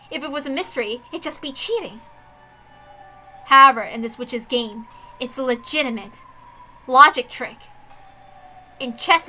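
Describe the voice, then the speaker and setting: Woman voicing a high, bright, proud young detective girl. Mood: astonished, analytical. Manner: theatrical, deliberate, dramatic monologue delivery. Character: proud, intense young female detective, triumphant and theatrical. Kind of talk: dramatic reading of a visual novel.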